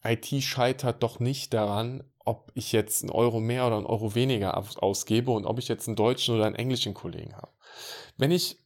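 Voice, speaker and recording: A man's voice: medium pitch, steady tone, quick pace, a little mellow. A man working as an IT consultant, speaking in a casual, direct monologue and addressing the listener informally.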